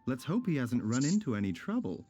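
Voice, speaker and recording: An actor playing a calm, polite male doctor in a video game. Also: mid-range voice, fairly quick, faint music behind, natural voice. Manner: composed and polite.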